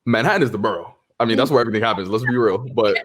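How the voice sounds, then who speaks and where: A man's voice: fairly low pitch, very fast, heavy background noise. A man from New York City chatting casually as a podcast guest, telling jokey stories with lots of fillers. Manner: joking, storytelling, heavy fillers. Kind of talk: casual panel chat.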